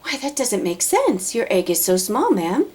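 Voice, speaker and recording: A woman voicing a huge male elephant in a children's storybook, gentle, faithful and earnest. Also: fairly high pitch, sing-song, husky, pitched above the performer's own voice. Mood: incredulous. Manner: earnest, deliberate. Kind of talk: children's storybook read-aloud.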